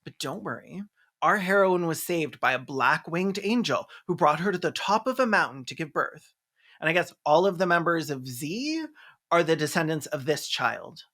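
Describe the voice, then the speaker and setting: Sardonic film-recap commentator, mid-pitched. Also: animated, moderate pace, fairly bright tone. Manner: sardonic, theatrical, playful mock quoting. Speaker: video essayist and film reviewer. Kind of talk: sarcastic comedic recap commentary.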